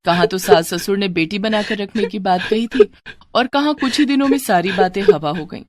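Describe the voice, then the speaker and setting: A woman's voice: medium pitch, normal speed, darkish tone. A woman narrator reading a scripted moral story in a storytelling style.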